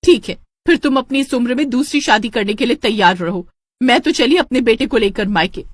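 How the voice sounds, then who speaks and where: A woman's voice: on the high side, a little fast, no echo, pitched above the performer's own voice, close to an everyday voice. A woman voicing a domineering, superstitious village wife and mother in a cartoon story, nagging and insistent. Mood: nagging, threatening. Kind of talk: Hindi cartoon story dialogue.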